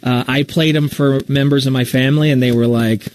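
A man's voice: mid-range voice, fairly even intonation, brisk, darkish tone. A man talking casually and candidly about his own work in a live Q&A, with a self-deprecating manner. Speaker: radio talk show host and podcast storyteller.

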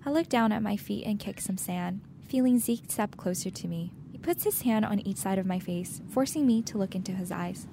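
Young female reader, first-person teen romance, dramatic delivery, mid-range voice, clear ambient vehicle noise. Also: mid-range voice, normal speed, few pauses, warm tone. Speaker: story reader.

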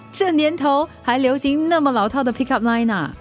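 A woman's voice: sits high, moderate pace, faint music behind, lightly acted. A woman acting a cheerful, helpful food delivery rider in a radio drama, chatty, with a Singaporean accent and English words mixed in. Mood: breezy, brisk.

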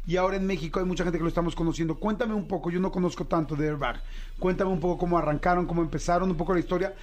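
A man with a Mexican Spanish accent hosts a casual interview in a high voice. He talks in a chatty, enthusiastic way and drops slang freely. He is from Mexico City. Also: fairly even intonation, rapid-fire. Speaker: podcast host.

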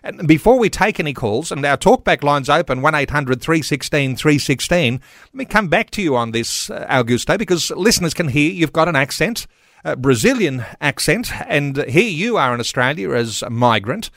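A man hosting a talkback radio show, speaking in a measured way as he steers the conversation. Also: on the high side, lively intonation, a bit bright, dry recording, studio quality.

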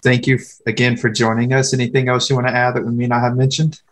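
A man hosting an interview podcast, asking short, steady questions and signing off the show. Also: medium pitch, fast talker, soft background music. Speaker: podcast host.

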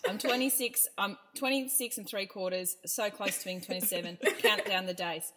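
A woman's voice: mid-range voice, fairly even intonation, normal speed, crowd noise. A woman hosting a podcast in a casual, effusive and teasing chat style. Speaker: podcast host.

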